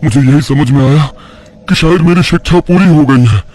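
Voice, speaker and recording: A man's voice: fairly high pitch, moderate pace, darkish tone, pitched above the performer's own voice, natural voice. A man playing a devout village priest and troubled father, telling his tale earnestly in a horror audio story. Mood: confessional.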